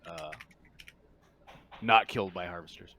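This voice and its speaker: A man running a tabletop roleplaying game as game master, narrating casually and haltingly as he searches for words. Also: low voice, sharp tone, a little hiss or hum.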